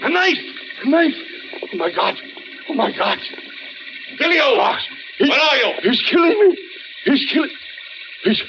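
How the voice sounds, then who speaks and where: An actor playing a theatrical, grieving old stage actor in 1940s radio style, declamatory, with dramatic pauses. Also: male voice, very high-pitched, big pitch swings, pushed and bright, heavily acted. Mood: frantic, terrified. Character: theatrical grieving old actor father, half-mad, accusing. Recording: muffled, noisy old radio recording.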